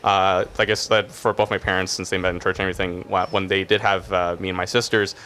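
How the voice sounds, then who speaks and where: Fast-talking young man with a low voice. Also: level tone, sharp tone. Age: young adult. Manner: rapid, with many uhs and restarts. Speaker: podcast guest, young adults group leader. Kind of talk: casual conversation.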